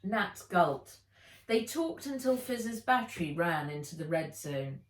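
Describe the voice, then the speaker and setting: A woman narrates a children's adventure book at a measured, slow pace. Her fairly low voice swings expressively through the sentences, theatrical and clear in intent. She sounds roomy and set back from the microphone. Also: lively intonation. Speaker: author reading own children's book. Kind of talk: dramatised audiobook narration. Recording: roomy, echoey, distant microphone.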